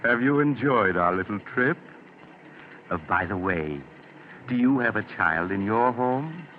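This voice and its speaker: An actor playing a genial yet sinister mysterious stranger hosting a 1940s radio drama in a wry, conversational, macabre manner. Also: male voice, lowish voice, expressive, measured pace. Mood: sly, genial. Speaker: host. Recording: muffled, hissy 1940s radio recording.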